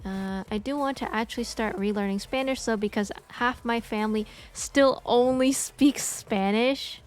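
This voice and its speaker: A woman streamer in casual chat, talking in a halting way with restarts. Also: a little high, faint music behind.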